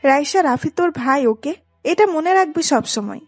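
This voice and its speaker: Casting: woman to play protective big sister in a romance audio drama, high voice, stern and exasperated, close dry sound. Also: animated, moderate pace, darkish tone, no echo, soft background music. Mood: stern scolding. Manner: stern, admonishing. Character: protective, exasperated older sister scolding her younger sister.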